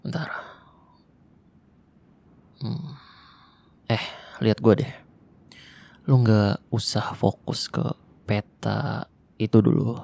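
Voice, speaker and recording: A man voicing a lonely young male survivor of a zombie apocalypse in an ASMR roleplay, talking softly and intimately in casual Jakarta slang, close to the microphone. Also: lowish voice, fairly even intonation, drawn-out words, gravelly, long pauses, exaggerated voice. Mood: tender. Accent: Jakarta colloquial Indonesian.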